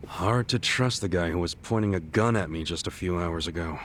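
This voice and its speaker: An actor playing a cocky, good-natured street tough in an English video game dub, talking in a blunt, wry, casual way. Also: male voice, low voice, brisk, fairly bright tone, a little hiss or hum. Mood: defiant, wary.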